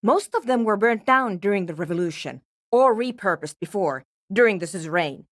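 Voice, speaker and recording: Woman acting driven female programmer, video game dialogue, lively swinging intonation, dry close sound, brisk and technical. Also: mid-range voice, no echo, natural voice. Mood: intrigued, urgent. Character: driven, curt woman programmer, intellectual and absorbed in research. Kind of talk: scripted game character dialogue.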